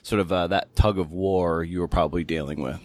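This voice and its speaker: A man hosting a podcast interview, asking questions conversationally in halting, circling phrases with repeated words. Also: sits low, steady tone, a little mellow, no room sound.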